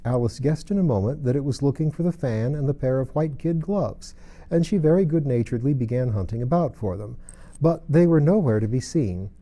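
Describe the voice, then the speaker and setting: A man's voice: medium pitch, expressive, brisk, mellow. A man narrating a storybook as an audiobook reader.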